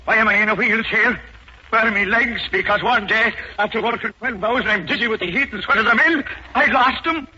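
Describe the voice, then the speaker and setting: Sharp, bitter old Irish father. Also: high-pitched, a little fast. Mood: bitter, angry. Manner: ranting, combative. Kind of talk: 1940s radio acting. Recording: dull, noisy 1940s radio recording.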